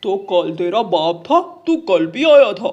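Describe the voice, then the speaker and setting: A bossy male crow guard from a children's cartoon, acted out by a woman. He speaks in a measured, slower way with a dark, mellow tone. His manner is stern and accusing, like a watchman laying down the law. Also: mid-range voice, very animated, highs cut off, slight background noise. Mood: threatening.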